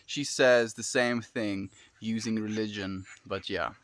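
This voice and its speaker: A man from South Africa with a South African accent, hosting a live stream, chatting with viewers in a relaxed, musing way with soft laughs. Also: lowish voice, measured pace. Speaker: livestream host. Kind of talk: casual live-stream chat reading viewer comments.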